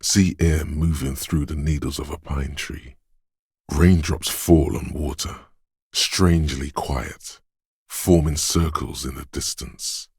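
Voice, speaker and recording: Game casting: a hushed, poetic voice of the senses, extremely low and slow, watchful and deliberate, husky. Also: male voice, steady tone, no echo, studio quality, exaggerated voice. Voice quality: husky, gravelly, breathy. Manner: slow, deliberate, hushed, savoring each sound. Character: inner voice of perception, hushed, watchful, poetic narrator. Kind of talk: atmospheric scripted game narration.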